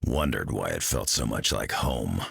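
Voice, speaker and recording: A surly mutant fighter in a video game, his voice extremely deep and gravelly with a husky edge. He growls his words out bluntly and sardonically. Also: expressive, moderate pace, fairly bright tone, no room sound, clean studio sound, exaggerated voice. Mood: gruff. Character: gruff, surly clawed mutant brawler.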